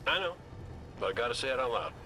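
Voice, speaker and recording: An actor voicing a roguish, wisecracking robot gunslinger in a video game, cocky but soft-hearted, with a wry conversational delivery. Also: male voice, mid-range voice, sing-song, quick pace, noisy background, natural voice. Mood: confiding. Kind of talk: video game cutscene acting.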